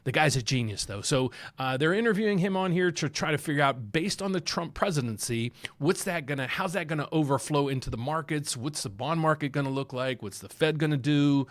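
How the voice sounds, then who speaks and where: A man's voice: on the high side, expressive, brisk, hardly any pauses. A man with a Southern accent hosting a mortgage channel, talking casually through market charts and repeating himself as he goes. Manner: rambling, repeats words, talks through charts on screen.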